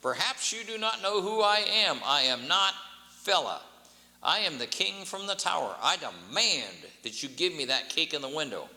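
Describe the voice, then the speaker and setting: A man's voice: on the high side, very animated, normal speed, bright, forceful tone, voice pushed up, full character voice. A man putting on a pompous tiny king. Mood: haughty. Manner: haughty, grandly demanding. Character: pompous tiny self-important king, male. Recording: long hall reverb, faint hum.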